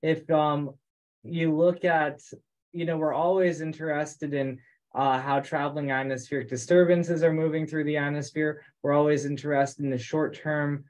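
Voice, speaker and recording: A man's voice: a little high, flat delivery, normal speed, a little mellow. A man giving an explanatory answer in conversational speech on a group teleconference. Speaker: meeting participant answering a question. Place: remote teleconference.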